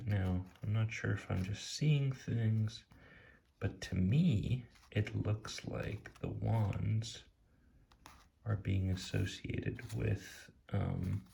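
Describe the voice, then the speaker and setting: A man talking in a soft ASMR style as he reviews cards off the cuff, musing and hesitant, often drifting into a near-whisper. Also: sits low, vocal fry, slight background noise. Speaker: tarot deck reviewer. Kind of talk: soft-spoken ASMR, impromptu commentary. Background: soft tapping and clicking.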